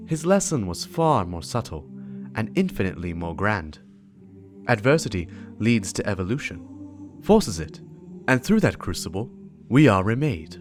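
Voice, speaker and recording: A grave warrior mentor acted by a man speaks in a low voice that rises and falls dramatically over music. The delivery is measured and oratorical, full of weighty pauses, like solemn video game lore. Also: sing-song. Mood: solemn, resolute. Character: solemn veteran warrior mentor passing on a cursed weapon.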